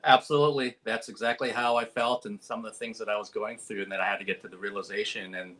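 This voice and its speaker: A man's voice: on the low side, steady tone, fast talker, fairly bright tone. A man interviewing a guest, speaking earnestly and chattily with plenty of filler words. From United States. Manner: earnest, talkative, many ums and likes. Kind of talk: casual interview over video call.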